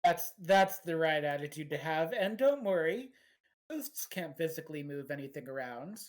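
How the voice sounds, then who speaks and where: A woman's voice: low-pitched, big pitch swings, moderate pace. A woman acting as game master, playfully setting the scene of a tabletop horror game.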